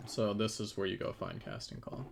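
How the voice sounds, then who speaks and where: Low, creaky-voiced man chatting on stream. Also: big pitch swings, moderate pace. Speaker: game developer and 3D modeler on a livestream team panel. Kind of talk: casual livestream chat and demonstration. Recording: faint background noise, keyboard typing.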